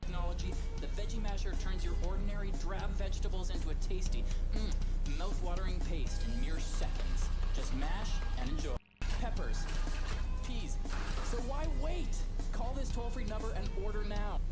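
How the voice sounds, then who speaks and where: A woman's voice: medium pitch, normal speed, long pauses, a little mellow, soft background music, natural voice. Woman as a peppy female infomercial announcer, pushy sales cheer, mid-range voice. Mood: peppy, salesy. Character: upbeat female infomercial announcer selling a kitchen gadget. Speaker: commercial announcer in a game tape.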